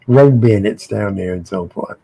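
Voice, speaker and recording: Deep-voiced, dark-toned man rambling. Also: normal speed, vocal fry, husky, no room sound. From United States. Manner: rambling, repeats words often. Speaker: co-host. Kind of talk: casual panel chat.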